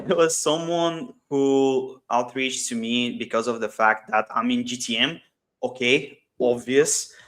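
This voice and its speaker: A man talks as an interview guest in an explanatory business style. His voice sits at a middle pitch. He is informal and emphatic, often leaning on anecdote to make a point. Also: fairly bright tone. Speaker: guest, startup CEO. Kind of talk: explanatory business talk in an online interview session.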